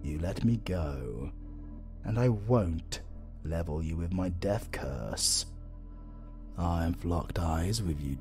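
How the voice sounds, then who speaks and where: A man's voice: extremely low pitch, creaky, full character voice. A British man playing a flirtatious, sardonic supervillain in a romantic comedy audio roleplay, drawling and teasing theatrically. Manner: slow, drawling, teasing, dramatic.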